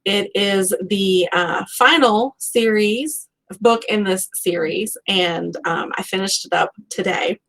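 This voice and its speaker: A woman hosting a casual live book chat, talking like an animated, gushing fan and acting out her reactions. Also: mid-range voice, slow, few pauses. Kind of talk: casual chatty author interview.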